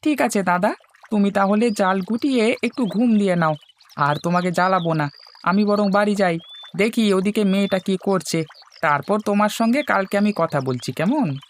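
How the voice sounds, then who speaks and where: A man's voice: high-pitched, normal speed, a little hiss or hum, natural voice. A man playing a humble, respectful small farmer in a cartoon story. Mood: friendly. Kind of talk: Bengali cartoon story dialogue.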